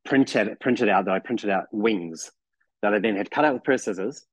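A man's voice: on the low side, moderate pace, no room sound. A man in his forties with a New Zealand accent, chatting as a podcast guest and rambling with lots of "you know" and restarts. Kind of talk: casual chat.

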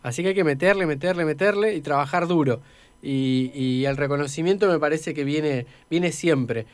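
An Argentine man co-hosts a radio conversation. His voice sits a little high and sounds close and dry, and he talks emphatically, repeating phrases to encourage. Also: moderate pace, smooth, dry recording. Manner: motivational, emphatic with repetition. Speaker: radio co-host.

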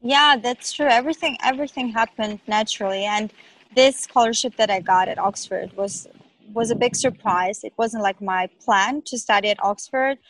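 A woman's voice: a little high, moderate pace, a bit bright, low-quality recording. A young woman doctor, not a native English speaker, answering interview questions in a thoughtful, hesitant way with many "like" fillers.

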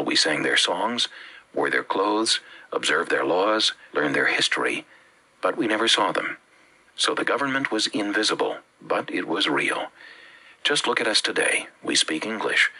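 A man from the Bahamas with a Bahamian accent, reading a religious teaching with measured, emphatic phrasing. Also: sits low, bright, forceful tone, breathy edge, low-quality recording. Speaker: preacher. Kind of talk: read-aloud religious teaching.

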